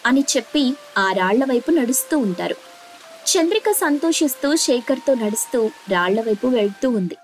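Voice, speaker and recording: High-voiced woman narrating a story. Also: lively intonation, moderate pace. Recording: faint music bed. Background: faint background music.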